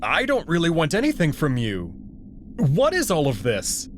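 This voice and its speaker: A man's voice: a little high, huge pitch swings, normal speed, a little hiss or hum. Man playing an indignant, anxious abducted human. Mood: annoyed, panicked. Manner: questioning, indignant.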